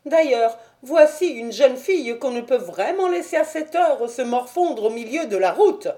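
A woman voicing an anxious, fussy gentleman who speaks in a nervous, indignant way in an audiobook story. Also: high-pitched, animated, normal speed, pitched above the performer's own voice. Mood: indignant, perplexed.

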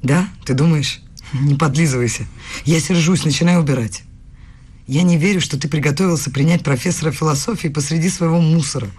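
A young woman student in a radio play, earnest and a little bossy. Her voice is husky and breathy, her delivery brisk and insistent. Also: sits low, a little mellow. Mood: scolding, anxious. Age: young adult.

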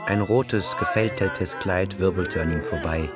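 A man's voice: deep voice, measured pace. A man narrating a literary radio play in scripted prose, acting as its storyteller. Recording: music behind the narration. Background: music.

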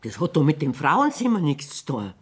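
A woman's voice: deep voice, big pitch swings, normal speed, breathy edge, heavily acted. A distressed Bavarian farmer's wife speaks plainly and plaintively in dialect. Her intonation rises and falls strongly, in the manner of a radio play. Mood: desperate, insistent.

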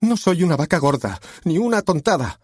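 A man's voice: a little high, big pitch swings, brisk, husky, dry recording, clean studio sound, pitched above the performer's own voice. A man voicing a tearful, indignant actress who shrilly protests her treatment.

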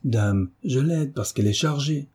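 A man's voice: medium pitch, animated, measured pace, a little mellow, husky, pitched above the performer's own voice. A man voicing a frank, stubborn thirteen-year-old schoolgirl with a dryly defiant manner in a storybook reading. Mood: cheeky.

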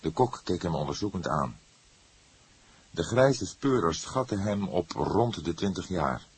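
A man's voice: low-pitched, animated, unhurried, breathy edge. A man reading a crime novel as scripted audiobook narration.

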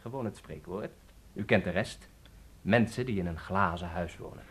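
An actor playing a guarded, middle-aged or older Spanish innkeeper in a radio drama, terse and evasive. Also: male voice, deep voice, moderate pace, fairly bright tone, faint music behind. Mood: brusque, brooding.

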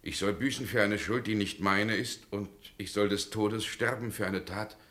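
A man's voice: on the low side, normal speed, bright, forceful tone. An actor playing an ordinary condemned man in a radio play, led by his double and speaking in a hesitant, subdued way. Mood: resigned.

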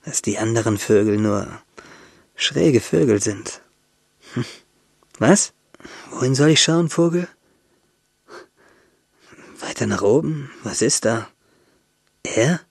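An actor playing a dying young man in a radio drama, husky and gravelly, musing intimately in speech, bitter yet playful, asking and answering himself. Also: on the low side, dry recording, studio quality, full character voice. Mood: gently teasing.

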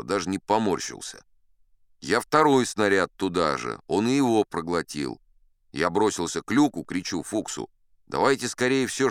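Male actor as an old braggart sea captain, smooth deep voice, dry close studio sound, chatty tall-tale teller. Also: a bit bright, no echo, exaggerated voice. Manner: chatty, confiding asides to the listener. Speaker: audiobook narrator. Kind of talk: first-person storytelling in an audio play.

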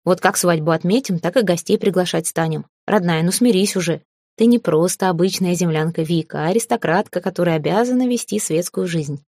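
A woman's voice: lowish voice, a little fast, a little mellow, no echo. A young aristocratic fiancé in a fantasy storybook, read by a woman in a lightly altered voice. He speaks with assurance and teases as he persuades, in a dry, close sound. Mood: teasing, persuasive.

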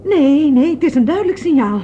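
A woman's voice: sits high, animated, a little fast, dark tone. An actress playing an anxious young woman fleeing through space in a Dutch radio drama, worried and full of questions. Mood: alarmed. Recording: dull, noisy old radio play.

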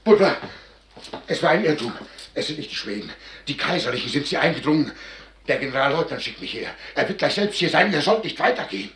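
Anguished soldier, wildly swinging high voice. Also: male voice, big pitch swings, brisk, slight background noise. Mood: urgent. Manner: emotional, swinging from murmurs to cries. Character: anguished, horrified military man, soft-hearted and pleading. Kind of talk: classical radio drama acting. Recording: roomy echo, loud music underneath.

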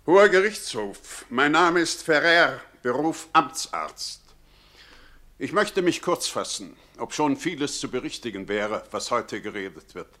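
An actor playing a self-justifying town doctor who speaks formally and pompously in a stage monologue. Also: male voice, medium pitch, big pitch swings, measured pace, sharp tone. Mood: self-righteous.

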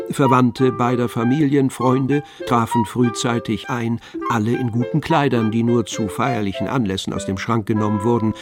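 Male literary narrator over music. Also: medium pitch. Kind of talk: scripted literary narration in a German radio drama.

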